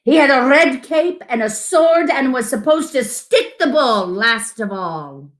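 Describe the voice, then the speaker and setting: A woman's voice: high-pitched, lively intonation, unhurried. A woman reading a picture book aloud to children as a storyteller, with dramatic emphasis and asides to her listeners.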